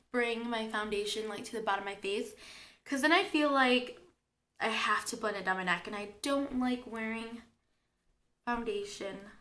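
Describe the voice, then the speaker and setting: A woman's voice: a little high, a bit bright. A young woman with a cold and a sore throat, chatting her way through a makeup tutorial and explaining each step as she works. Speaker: beauty vlogger.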